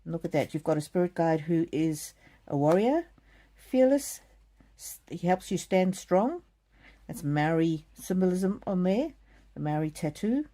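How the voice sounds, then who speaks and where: A middle-aged woman from South Africa giving a gentle live oracle card reading, speaking softly and addressing viewers by name. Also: on the low side, animated, unhurried. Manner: gentle, soothing, talks straight to viewers by name. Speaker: card reader.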